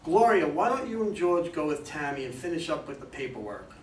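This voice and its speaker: A man's voice: on the high side, sing-song, fairly quick. A man in a staged play reading as a suspicious, decisive investigator boss, with an emphatic, theatrical delivery. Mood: businesslike. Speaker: reader in a community play reading. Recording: distant mics, echoey room, faint noise.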